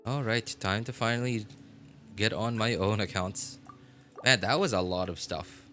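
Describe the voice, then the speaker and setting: A man's voice: lowish voice, measured pace, a bit bright, soft background music. A man chatting casually and at length as he livestreams a game. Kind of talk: casual rambling stream chat.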